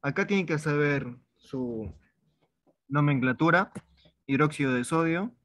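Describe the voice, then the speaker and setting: A man's voice: a little high, measured pace, a bit bright, dry recording, clean studio sound. A man from Peru teaching an online chemistry review class, informal and repeating words as he writes out problems. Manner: informal, repeats words while writing on a board. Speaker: chemistry tutor.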